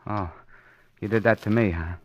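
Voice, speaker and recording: An actor playing a nervous, frightened, fatalistic city employee in an old-time radio crime drama, agitated and halting. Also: male voice, lowish voice, lo-fi sound, natural voice. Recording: noisy vintage radio recording with clicks.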